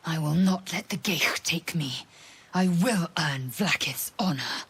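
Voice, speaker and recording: An actress playing a fierce, proud alien warrior woman in a video game, with a husky, breathy rasp and clipped, menacing delivery. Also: sits low, lively intonation, measured pace, fairly bright tone, full character voice. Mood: cold threat. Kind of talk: dramatic video game acting.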